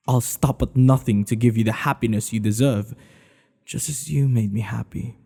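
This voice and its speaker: A man playing a tender, devoted king in an intimate ASMR roleplay, speaking in an earnest, hushed, halting way. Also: mid-range voice, vocal fry, slightly rough, in a large hall. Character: tender, devoted male monarch hiding a secret lover.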